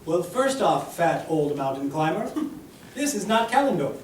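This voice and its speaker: Guy doing a sneery guard, high voice, bouncy lively delivery, echoey far mic. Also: measured pace. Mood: taunting. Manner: sneering, taunting. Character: smug, taunting male town guard. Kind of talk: table read acting. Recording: roomy room, distant mic, faint hiss.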